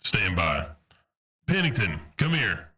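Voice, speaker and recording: A man playing a gruff, commanding paladin in a tabletop audio drama, curt and condescending. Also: deep voice, very animated, slow, bright, forceful tone, full character voice. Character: gruff, no-nonsense male military paladin, commanding officer.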